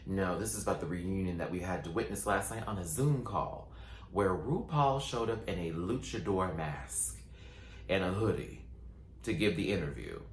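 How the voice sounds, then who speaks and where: A man chatting sassily through a casual recap, his voice still low and groggy from just waking up. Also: lively intonation, roomy sound, a little hiss or hum. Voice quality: voice sitting lower than his normal. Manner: sassy, playful, quick asides. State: just woke up, morning voice. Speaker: vlogger and show recapper.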